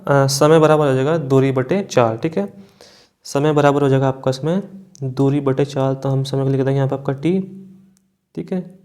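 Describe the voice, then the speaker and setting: Male exam coach, slightly higher register, explanatory and repetitive, walking students through multiple-choice questions. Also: darkish tone. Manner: explanatory, repeats points and often checks in with short tags.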